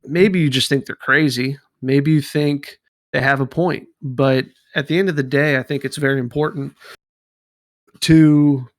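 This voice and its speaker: A man's voice: fairly high pitch, fairly even intonation, a little slow, no echo, clean studio sound. A man in his thirties co-hosting a podcast, talking casually with many fillers and restarts. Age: 30s. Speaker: podcast co-host.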